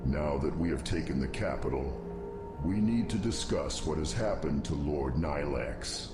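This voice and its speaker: Man playing a low, grave undead commander. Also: expressive, over music. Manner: formal, deliberate, grave. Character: male undead nerubian commander, grave, loyal, brooding. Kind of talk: fantasy game cutscene acting.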